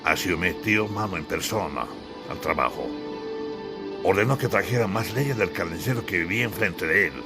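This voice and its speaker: A man's voice: deep voice, animated, normal speed. A man from Bolivia narrating his own literary audiobook in a dramatic, theatrical storytelling style. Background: music.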